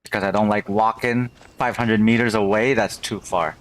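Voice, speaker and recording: A man's voice: lowish voice, fairly even intonation, normal speed, fairly bright tone, slight background noise, low-quality recording. A man giving casual playthrough commentary as a game streamer.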